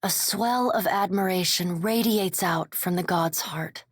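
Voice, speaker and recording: A woman playing a solemn storyteller, narrating a fantasy video game in deliberate, literary, dramatic prose. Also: fairly low pitch, slightly rough, no room sound. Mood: solemn awe.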